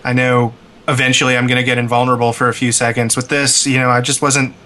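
A man's voice: medium pitch, monotone, fast talker, sharp tone. A man co-hosting a casual gaming podcast, joking and feeling his way through his phrases. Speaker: podcast co-host.

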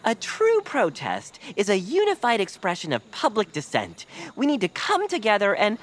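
A woman's voice: mid-range voice, moderate pace. A woman playing an earnest, anxious, organized young protest leader in a comic audio drama for children. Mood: urgent, exasperated. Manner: earnest, fussy, excitable.

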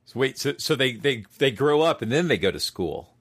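A man's voice: mid-range voice, big pitch swings, fairly quick, breathy edge, dry recording, clean studio sound. A man co-hosting a podcast, playful and punning in casual chat. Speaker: podcast co-host.

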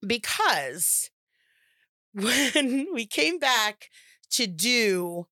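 Animated, laughing woman telling stories. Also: a little high, very slow, dry recording, clean studio sound. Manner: animated, laughing, swears casually, acts out quotes. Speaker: podcast guest, comedic actress. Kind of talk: casual chat, storytelling.

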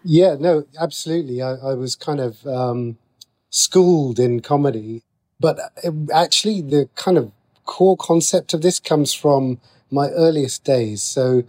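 A man's voice: medium pitch, measured pace, darkish tone. A man with a British accent talking as an interview guest, conversational, reflective and self-deprecating. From Slough, England. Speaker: interview guest, TV and radio writer.

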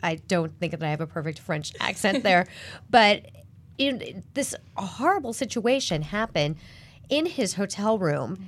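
A woman host and business reporter leading a panel discussion, animated and asking questions. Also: mid-range voice, a little slow.

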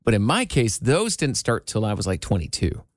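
A woman co-hosting a talk show in casual, animated, conversational banter. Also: rumbling low, normal speed, no room sound, clean studio sound.

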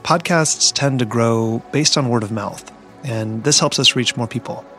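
Male podcast host, steady scripted read, forceful bright tone, faint music underneath. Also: mid-range voice, a little slow. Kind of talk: podcast outro.

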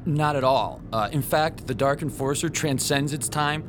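Actor as earnest, high-voiced comic geek. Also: male voice, moderate pace, music in the background, lightly acted. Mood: defensive. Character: timid, earnest young male comic-book fan. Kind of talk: audio drama dialogue.

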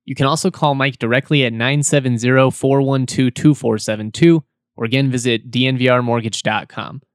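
A man hosting a sports podcast in casual chat, his voice slightly hoarse, chatty and repeating words as he goes. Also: medium pitch, steady tone, brisk, no room sound, studio quality. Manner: chatty, repeats words, laughs now and then. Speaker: podcast host.

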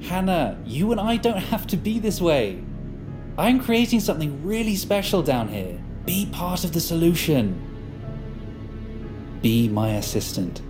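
An actor playing a smug, controlling leader in an audio drama, coaxing and condescending in a falsely reasonable way. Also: male voice, on the high side, sing-song, unhurried, over music.

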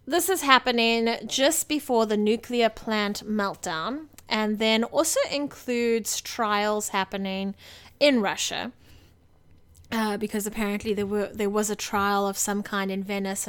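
A woman with a smooth, clean voice at a medium pitch co-hosts a casual review show. She explains things loosely and informally, thinking aloud as she goes. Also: a little slow. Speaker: movie podcast co-host.

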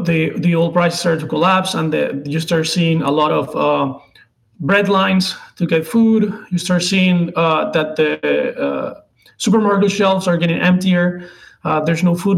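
A man in his twenties with a Venezuelan accent, a native Spanish speaker, answering interview questions haltingly as he searches for words. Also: fairly high pitch, vocal fry, low-quality recording. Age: 20s. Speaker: interview guest, political contributor.

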